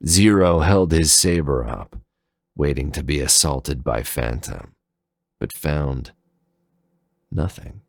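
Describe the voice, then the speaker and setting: Very deep-voiced, slow man narrating a story. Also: no room sound, clean studio sound. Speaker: narrator of fan fiction. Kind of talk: dramatic scripted story narration.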